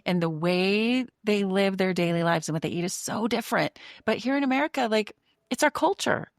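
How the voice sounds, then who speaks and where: A woman's voice: mid-range voice, a little fast, no echo, clean studio sound. A woman hosting a podcast interview, chatty and affirming, asking her guest questions. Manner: chatty, affirming with short responses. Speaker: podcast host. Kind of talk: interview conversation.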